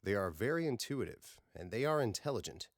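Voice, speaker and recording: A man's voice: fairly low pitch, big pitch swings, fairly quick, dry recording, studio quality. A man voicing a confident, earnest space crew leader with formal phrasing in an audiobook. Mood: assured.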